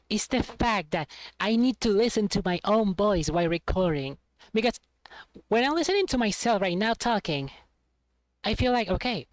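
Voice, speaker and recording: A woman's voice: medium pitch, no room sound. Rambling, giggly streamer woman. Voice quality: trained, voice she has been working on. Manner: rambling, self-deprecating, laughs often, many restarts. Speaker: streamer talking to chat. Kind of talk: casual stream chat.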